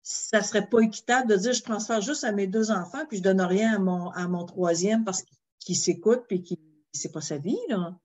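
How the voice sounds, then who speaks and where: A woman's voice: medium pitch, moderate pace. A woman host with a Québécois accent, interviewing a guest in a chatty way with frequent fillers. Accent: Québécois French. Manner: warm-hearted, chatty, many fillers. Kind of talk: interview chat.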